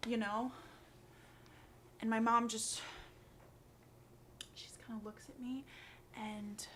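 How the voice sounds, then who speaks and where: Actress as guilt-ridden, anxious young woman, higher voice, halting conversational confession, noisy ambient recording. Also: little pitch movement, fairly bright tone. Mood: subdued. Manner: halting, rambling, filler-heavy, neurotic. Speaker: actor. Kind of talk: acted confessional monologue, conversational. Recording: clearly noisy background ambience.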